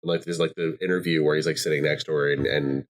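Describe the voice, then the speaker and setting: A man with a very low voice hosts a loose, casual podcast. He rambles with lots of fillers and swears freely as he chats with his guests. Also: flat delivery, quick pace. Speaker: podcast host.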